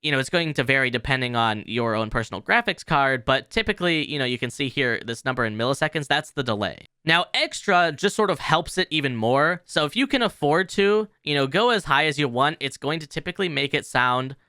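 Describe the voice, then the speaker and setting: Bright, lively man giving a tutorial. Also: medium pitch, fairly quick, few pauses, clean tone, no echo, studio quality. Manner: informal, explanatory. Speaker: tutorial host. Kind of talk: casual software tutorial narration.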